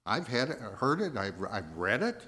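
An American man in his seventies preaching a sermon, going verse by verse in a halting, deliberate way and repeating phrases for emphasis. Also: medium pitch, sing-song, sharp tone. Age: 70s. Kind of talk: sermon, verse-by-verse Bible exposition.

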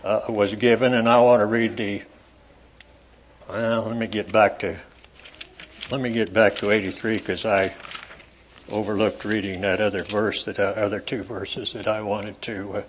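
A man preaching to a congregation in an evening meeting, reading scripture aloud with rising emphasis and talking with halting fillers in between. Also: fairly low pitch, flat delivery, slight background noise. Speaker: lay preacher. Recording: dull lo-fi recording.

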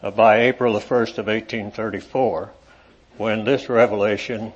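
A man preaching to a congregation in an evening meeting, reading scripture aloud with rising emphasis and talking with halting fillers in between. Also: on the low side, fairly even intonation, breathy edge. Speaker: lay preacher. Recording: dull lo-fi recording.

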